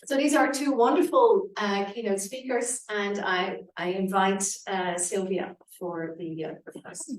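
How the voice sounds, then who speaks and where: A woman chairing a conference, introducing the speakers with many pauses and fillers. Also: mid-range voice, fairly even intonation, distant mic. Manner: halting, many fillers, reads bios. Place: conference hall. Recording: echoey hall from afar.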